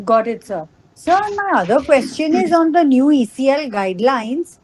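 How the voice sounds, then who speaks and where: A woman speaks as an analyst on an earnings call. Her voice sits a little high and she puts her questions politely. The sound is dry, with no room echo. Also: animated, normal speed, a little mellow.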